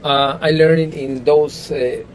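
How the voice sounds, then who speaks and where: A man's voice: a little high, fairly even intonation, a little slow, a crowd in the background, low-quality recording. A man whose first language is Spanish, answering interview questions in an earnest, casual way, with many restarts and "you know".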